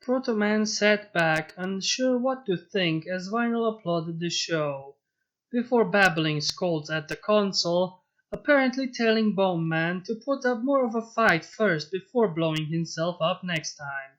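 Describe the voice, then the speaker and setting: Slow-paced man narrating a story. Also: sits high, lively intonation, fairly bright tone. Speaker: fan fiction narrator. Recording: muffled mic with music behind. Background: music.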